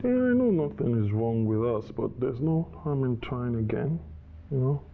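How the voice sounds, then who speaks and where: An actor playing a domineering husband who turns coaxing and apologetic, in a naturalistic screen drama. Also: male voice, medium pitch, lively intonation, normal speed, narrow, tinny audio, lightly acted. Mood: insistent. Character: domineering but coaxing husband, adult man, quick to apologise.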